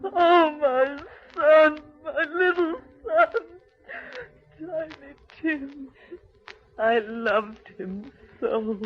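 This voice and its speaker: A high, slow, mournful voice as a grieving mother in a 1930s radio play. She is tender and sorrowful, on a muffled old broadcast. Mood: weeping grief. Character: grieving, tender mother, Bob Cratchit's wife. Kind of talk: 1930s radio drama acting.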